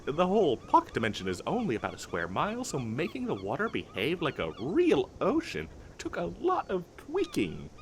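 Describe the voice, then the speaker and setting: A man's voice: on the high side, wildly swooping pitch, moderate pace, hardly any pauses, a little hiss or hum. A man playing an eager, pushy wizard salesman in a comedic fantasy roleplay, chatty and stammering through his sales patter. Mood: enthusiastic.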